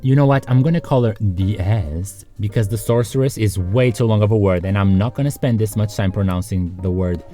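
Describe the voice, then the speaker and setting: A man's voice: fairly low pitch, brisk, hardly any pauses, warm tone, faint music behind. A man narrating a comedic scripted video as its host, sarcastic and conversational, with joking asides to the viewer.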